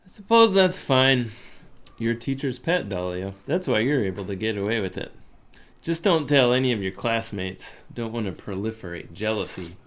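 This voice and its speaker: A man's voice: on the low side, expressive, moderate pace, slight background noise, close to an everyday voice. Man playing a hammy, swooping occult professor. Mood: indulgent. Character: male occult studies professor, fond of corny jokes, cagey. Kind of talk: audio drama acting.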